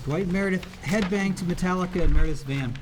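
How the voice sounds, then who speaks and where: A man's voice: high-pitched, quick pace, noisy background. A man reading script stage directions aloud as narration at a table read.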